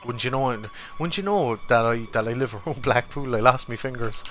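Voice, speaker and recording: A man's voice: mid-range voice, brisk. An Irish man from Cork chatting casually on a live stream, swearing freely and laughing often. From Ireland. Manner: relaxed, profane, laughs often. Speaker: gaming streamer.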